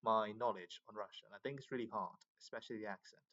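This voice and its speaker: A man's voice: on the low side, fast talker, a bit bright, lo-fi sound. A man from Myanmar, chatting casually in English with many fillers. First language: Burmese. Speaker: English learner. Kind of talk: casual video chat.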